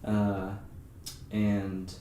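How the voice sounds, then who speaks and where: A man's voice: on the low side, completely flat delivery, extremely slow, dark tone. A young man hosting a podcast in casual, slangy, informal conversation. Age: young adult. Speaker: podcast host. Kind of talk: casual chat. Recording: echoey, distant, noisy room recording.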